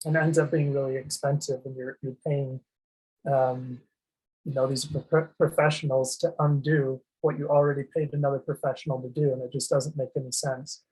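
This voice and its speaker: Husky monotone man explaining finance. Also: mid-range voice, low-quality recording. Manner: monotone, many ums and restarts. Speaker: asset protection presenter. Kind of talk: explaining a slide presentation.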